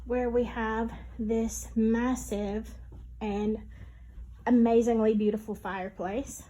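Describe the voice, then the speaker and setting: A woman giving a casual vlog house tour, talking in a halting, gushing, conversational way. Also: on the high side, little pitch movement, warm tone, rough recording.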